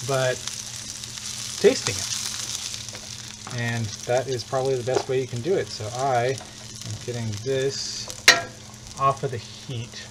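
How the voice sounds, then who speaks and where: A man's voice: mid-range voice, level tone, unhurried, long pauses. Chatty man cooking over sizzling pans. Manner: chatty, rambling, joking asides. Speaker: cooking stream host. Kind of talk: casual live cooking demo. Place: home kitchen. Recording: clear kitchen sizzle and frying noise.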